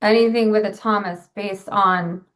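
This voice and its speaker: A woman's voice: medium pitch, fairly even intonation, unhurried. A woman hosting a comedy show, chatting in casual banter. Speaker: show host.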